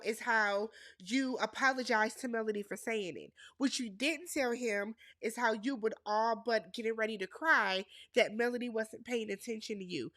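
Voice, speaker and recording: A woman's voice: fairly high pitch, sharp tone, no room sound, clean studio sound. Nasal, congested woman with a Wisconsin accent. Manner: opinionated, addresses viewers as y'all. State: congested from a cold. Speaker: reality TV recap host. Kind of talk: casual recap chat.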